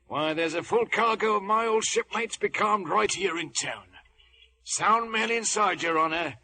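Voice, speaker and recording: An actor playing a wily, jovial one-legged sailor turned inn cook in a radio adventure, with a gravelly voice and folksy, ingratiating nautical talk. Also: male voice, high voice, a little slow, pushed and bright, exaggerated voice. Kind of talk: old-time radio adventure acting.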